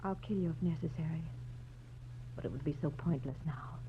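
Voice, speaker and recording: A low-pitched femme fatale, acted by a woman, bitter and fatalistic, giving orders with deliberate calm. The old radio recording is thin and tinny, with a faint hum. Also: close to an everyday voice. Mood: calm menace. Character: cool, resolute murderess, a bitter, fatalistic married woman. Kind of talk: 1940s radio noir acting.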